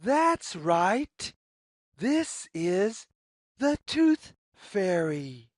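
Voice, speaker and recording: A woman reading a story aloud for young children, phrasing deliberately and putting questions to the listener. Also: medium pitch, sing-song, a little mellow, dry recording, clean studio sound. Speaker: children's read-aloud narrator.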